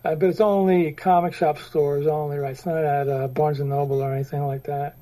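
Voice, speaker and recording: Guy with a pretty high voice, casual comics podcast chat, says you know a lot. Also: flat delivery, a little fast, dark tone. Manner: chatty, asks questions, many fillers like "you know". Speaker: podcast co-host.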